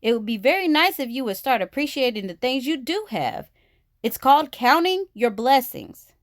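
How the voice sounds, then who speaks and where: A woman voicing a patient, gently firm mother bear in a storybook, speaking in a measured, instructive way. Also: high voice, big pitch swings, no room sound, clean studio sound, pitched above the performer's own voice. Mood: firm scolding.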